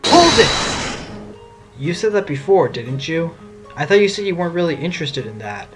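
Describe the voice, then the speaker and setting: Man playing wry, higher-voiced defense lawyer. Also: lively intonation, a little fast, music in the background, lightly acted. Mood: challenging. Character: wry, quick-witted male defense lawyer. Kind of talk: amateur audio drama acting.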